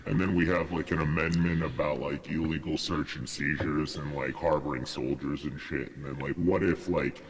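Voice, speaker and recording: Man, extremely low voice with vocal fry, loose conversational podcast delivery, reverberant recording with faint noise. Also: fairly even intonation, moderate pace, few pauses. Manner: loose, speculative, filler-heavy with "like". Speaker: podcast speaker. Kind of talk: casual chat. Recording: noisy, reverberant hall-like sound.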